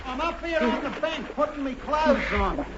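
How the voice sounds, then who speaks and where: A man's voice: very high-pitched, lively intonation, moderate pace, crowd noise. A man playing a cheeky, knowing five-year-old boy, scornful and matter-of-fact, in a 1950s British radio comedy. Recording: muffled old radio, faint hiss, clicks.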